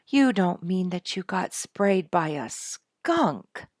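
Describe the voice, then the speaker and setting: A woman's voice: lowish voice, sing-song, slow pace, no echo, clean studio sound, voice pushed up, heavily acted. Woman playing an emphatic, concerned storybook lady. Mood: astonished. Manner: probing, sympathetic, emphatic.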